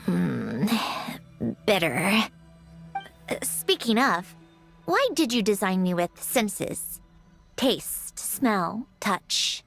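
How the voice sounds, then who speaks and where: A woman playing a logical, wistful female artificial being in a video game, speaking in a deliberate, analytical and reflective way. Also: mid-range voice, very animated, gravelly, a bit bright, soft background music, full character voice. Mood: curious, literal. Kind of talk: video game character acting.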